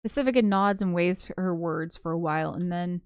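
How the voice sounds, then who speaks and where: A woman reading a visual novel aloud as a let's play host, shifting between expressive narration and casual commentary. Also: on the low side, brisk, no room sound, studio quality. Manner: giggly, breaks off into casual asides.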